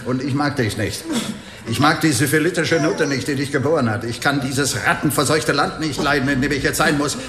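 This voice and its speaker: A man plays a sadistic male cop in a radio drama, his voice fairly high and set in an echoing room. He sneers crude threats and gives orders with bullying menace. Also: close to an everyday voice. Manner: crude, commanding, deliberate threats.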